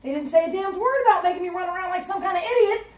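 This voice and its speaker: A woman's voice: extremely high pitch, racing, distant mic. A woman acting as a frazzled, short-tempered single mother, talking bluntly and sarcastically with plenty of swearing. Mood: furious. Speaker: single mother waiting in a store line. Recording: echoey room, voice off-mic.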